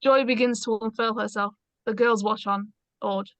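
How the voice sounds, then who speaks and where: A woman's voice: fairly high pitch, fairly even intonation, normal speed, fairly bright tone, no echo. A woman reading stage directions aloud as scripted narration in a screenplay read-through.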